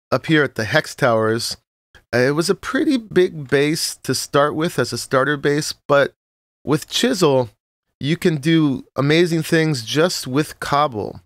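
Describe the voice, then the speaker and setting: Relaxed man with a froggy morning voice. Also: on the high side, measured pace, dry recording, clean studio sound. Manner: relaxed, rambling, with frequent "uh" and short laughs. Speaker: Minecraft let's-play host. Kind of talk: casual gameplay tour narration.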